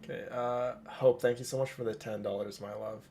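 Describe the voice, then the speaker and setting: A man's voice: mid-range voice, steady tone, brisk, gravelly, darkish tone, slight background noise. A man hosting a livestream, chatting casually and addressing viewers with doting affection. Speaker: livestream host. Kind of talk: casual chat while streaming a game.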